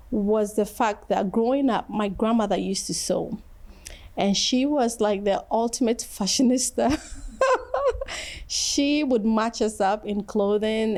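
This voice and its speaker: A woman's voice: mid-range voice, darkish tone. A middle-aged woman from Ghana, answering interview questions in a chatty way and laughing often between phrases. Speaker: fashion designer guest.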